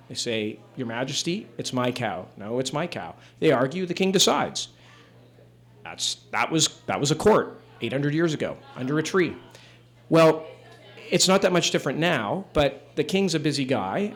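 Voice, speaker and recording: A man in his fifties from Canada, a judge telling stories to a room of people in a relaxed, wry, conversational way with fillers. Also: mid-range voice. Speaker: judge giving a talk. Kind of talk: relaxed storytelling talk to a live audience. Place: room with an audience.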